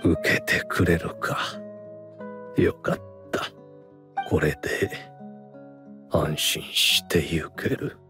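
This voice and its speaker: A man voicing a weary, dignified older scholar in a game, speaking formally in a measured, resigned way. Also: a bass voice, steady tone, music in the background, exaggerated voice. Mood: relieved, calm. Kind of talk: Japanese game dub dialogue.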